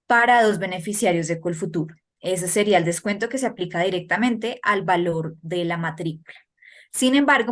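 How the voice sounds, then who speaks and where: A woman, hosting and introducing an informational webinar over a video call in a brisk, informative way. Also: mid-range voice. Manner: brisk, informative announcer delivery. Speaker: webinar host.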